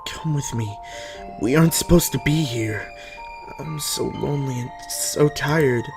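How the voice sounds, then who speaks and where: A man voicing a lonely, eerie ghostly sister, a young woman who speaks plaintively and haltingly. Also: mid-range voice, vocal fry, over music, pitched above the performer's own voice. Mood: lonely, weary.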